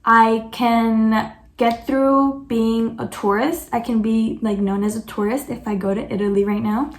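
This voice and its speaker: A woman's voice: fairly high pitch, flat delivery, unhurried, reverberant. A woman vlogger answering viewer questions in casual chat, chatty and peppered with "like" and self-corrections.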